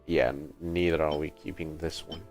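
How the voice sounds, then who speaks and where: A man's voice: extremely low pitch, flat delivery, moderate pace. A man livestreaming a video game with casual commentary, thinking aloud in short remarks. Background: game music.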